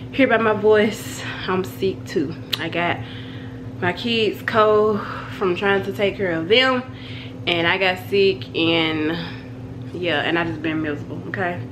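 A woman's voice: mid-range voice, a little slow. A young woman with a cold, chatting casually to her phone camera at home with a congested, nasal voice. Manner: chatty, rambling, addresses viewers as y'all. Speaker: family vlogger. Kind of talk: casual vlog chat to camera. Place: bedroom at home, indoors. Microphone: phone camera held at arm's length. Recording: noisy home phone recording.

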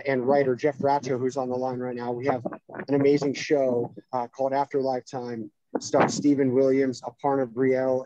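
A man's voice: mid-range voice, warm tone, no room sound, muffled recording. A man, a producer, introducing himself casually on a video call. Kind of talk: self-introduction pitch.

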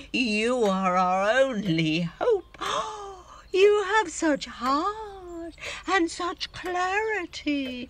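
Woman acting a possessive middle-aged woman, wildly swinging pitch. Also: full character voice. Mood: possessive, fervent. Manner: dramatic, pleading, swinging from hushed adoration to outbursts. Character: possessive, theatrical ageing actress clinging to her lover. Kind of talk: audio drama acting.

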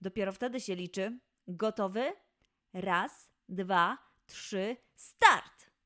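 A woman's voice: mid-range voice, slow, pushed and bright. A woman voicing a playful, encouraging mother lioness who coaxes with game-like challenges in a children's audio play. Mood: playfully challenging.

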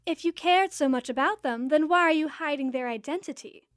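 High, motherly flower goddess played by a woman. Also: brisk, dry recording, clean studio sound, close to an everyday voice. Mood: gently probing. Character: motherly goddess queen of spring flowers, kindly, quirky. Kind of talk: audio drama acting.

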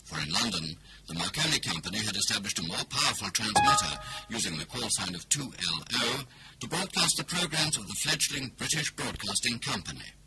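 A solemn narrator, played by a man with a fairly low voice. He delivers scripted history for a radio play, with clear noise behind him. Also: big pitch swings, a little fast.

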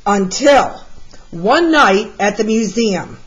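A woman reading a children's picture book aloud as a storyteller, with deliberate, expressive delivery. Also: medium pitch, slight background noise, rough recording. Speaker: read-aloud storyteller, art teacher.

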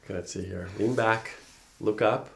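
A man's voice: lowish voice, fairly even intonation, normal speed, creaky, a crowd in the background. A middle-aged man working as a chiropractor, giving short, reassuring instructions to a patient during an exam. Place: small carpeted chiropractic office.

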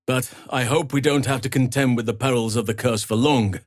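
An actor playing a calm, wise elf druid in a video game, speaking in a measured, earnest and formal way. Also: male voice, mid-range voice, dry recording, studio quality, natural voice. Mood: earnest, firm. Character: calm, wise, burly elf druid man with an animal side. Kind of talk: video game character voice lines.

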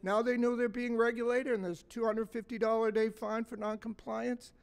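An older man in his sixties with a slightly raspy voice, giving a blunt, folksy conference talk from a podium mic in a hotel meeting room, joking and asking the audience questions. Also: very high-pitched, flat delivery, fairly quick. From Massachusetts, USA. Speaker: conference speaker. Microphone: stand mic on a podium.

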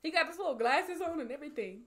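A young adult woman giving casual, excitable, slangy reaction commentary as she watches a movie. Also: high voice, quick pace, a loud crowd. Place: small bedroom studio indoors.

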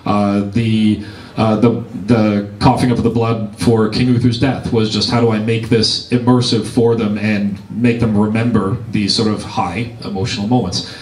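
A man's voice: on the low side, level tone, moderate pace, darkish tone. A man with a Canadian accent giving earnest step-by-step advice as a game master on a panel. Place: large convention room. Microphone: handheld mic, heard from across the room. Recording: echoey room from far off.

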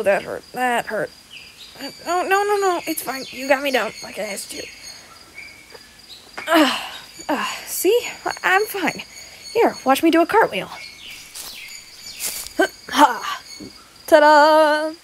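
A woman plays an excitable young male adventurer in an audio roleplay, goofy and bumbling, with a fairly high voice and an unhurried pace. A faint bed of insects and nature noise sits behind the voice. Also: full character voice. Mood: sheepish. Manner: bumbling, playful, stammering. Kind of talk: audio roleplay addressed to the listener.

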